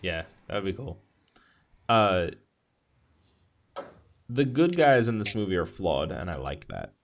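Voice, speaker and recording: A man co-hosting a podcast in a casual discussion, agreeable and halting, often rephrasing himself mid-sentence. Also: sits low, sing-song. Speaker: podcast co-host.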